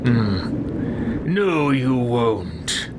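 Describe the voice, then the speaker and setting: A man's voice: mid-range voice, big pitch swings, drawn-out words, noisy background, voice pushed down. A man roleplaying a blunt, menacing video game hero with hesitant, threatening delivery.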